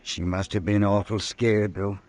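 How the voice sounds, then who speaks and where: A grieving frontier teamster played by a man in an old-time radio western. He has a low rural American voice and a blunt, unpolished way of speaking. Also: level tone, measured pace, a little mellow, natural voice. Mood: numb, grieving.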